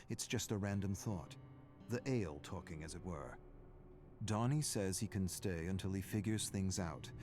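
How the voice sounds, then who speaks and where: A man reading a dramatic scripted story in a measured, brooding way, in short clipped phrases. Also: lowish voice, a little mellow. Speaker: narrator.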